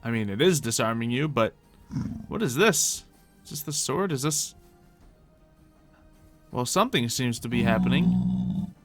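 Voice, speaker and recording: A man's voice: medium pitch, big pitch swings, a little slow, pauses often, faint music behind. A man giving casual, improvised gameplay commentary in character, chatting to himself and thinking aloud as he goes. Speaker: gaming video host playing in character.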